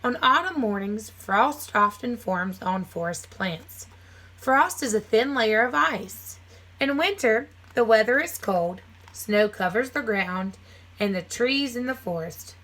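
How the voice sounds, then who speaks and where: Bright-voiced woman reading aloud to children. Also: fairly high pitch, lively intonation, slow, low-quality recording. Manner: simple, clearly phrased teacherly delivery addressed to young children. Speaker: teacher reading aloud to a class. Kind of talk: children's nonfiction read-aloud with casual asides.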